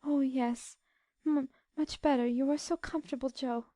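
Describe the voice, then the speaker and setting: A woman voicing a gentle, sorrowful eighteen-year-old girl in a storybook, with a soft, tearful quaver. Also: high voice, steady tone, normal speed, no room sound, studio quality, voice pushed up, close to an everyday voice. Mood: subdued, soothed.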